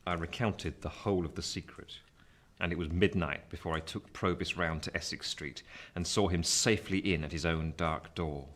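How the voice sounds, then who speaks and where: A grave gentleman telling his story in a radio play. The voice is deep, and the first-person narration is measured and literary. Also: fairly even intonation.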